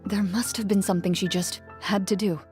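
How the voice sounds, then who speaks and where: An actress playing a stoic young woman champion duelist in a video game, with a measured, reserved, understated delivery. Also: mid-range voice, fairly even intonation, darkish tone, soft background music, natural voice. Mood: calm, reflective. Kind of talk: scripted video game dialogue.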